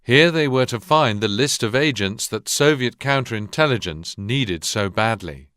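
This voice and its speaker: An actor as a sober historical narrator, reading formal, measured documentary-style narration for a war video game. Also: male voice, mid-range voice, expressive, fairly bright tone, slight background noise, lightly acted. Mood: sober, factual.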